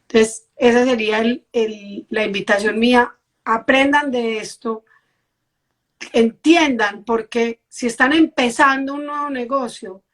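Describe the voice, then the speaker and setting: A woman hosting a casual live-stream chat, earnestly encouraging her viewers. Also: fairly high pitch. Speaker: host.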